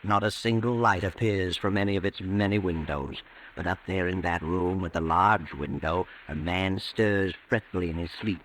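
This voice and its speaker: Sinister all-knowing storyteller, low voice, ominous, deliberate, theatrical, old radio mystery, faint hiss. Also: male voice, steady tone, a bit bright. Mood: brooding, suspenseful. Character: The Whistler, sinister omniscient narrator of night tales. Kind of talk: 1940s radio drama narration. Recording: noisy old radio recording.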